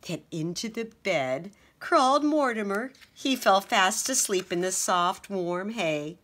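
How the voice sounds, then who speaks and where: Slow, expressive woman reading a picture book. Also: medium pitch. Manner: expressive, gentle storytelling. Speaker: teacher reading aloud. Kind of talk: children's picture book read-aloud.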